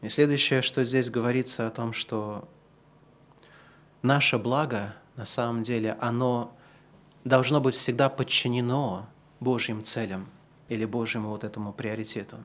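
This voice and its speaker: A man preaches in a middle-range voice, and he draws out his key phrases slowly and loudly. Between those high points he drops into a softer, chatty tone and talks straight to his listeners. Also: steady tone. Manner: swings from slow, loud emphatic preaching to quieter conversational asides. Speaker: pastor. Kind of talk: sermon.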